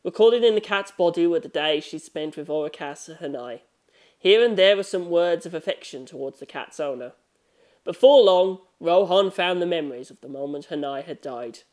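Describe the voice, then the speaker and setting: A narrator reading a scripted story in an expressive, measured storytelling manner. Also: medium pitch. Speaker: audiobook narrator.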